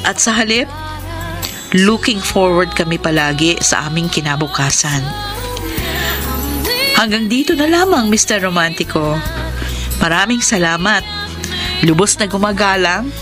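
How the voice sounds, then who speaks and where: An actress with a Filipino accent playing a repentant, gentle, hopeful woman in a sentimental radio drama. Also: mid-range voice, close to an everyday voice. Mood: calm, hopeful. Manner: earnest, sentimental. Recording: prominent music bed under radio drama.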